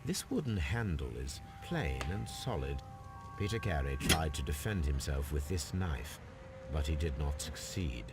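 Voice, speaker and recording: A low, deep male voice plays a calm gentleman detective in a video game. He reasons aloud with measured precision, musing over each observation. Loud music plays behind him. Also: exaggerated voice. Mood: analytical. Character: calm, analytical gentleman detective examining a murder scene. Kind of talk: video game character voice acting, deliberate deductive monologue.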